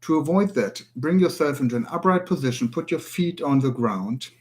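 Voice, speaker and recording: A German-accented man presenting a language tutorial in English. His pitch sits in an ordinary middle range for a man. He speaks in an earnest way and sometimes stops to search for an English word. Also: a little mellow. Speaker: language teacher. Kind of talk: spoken video tutorial.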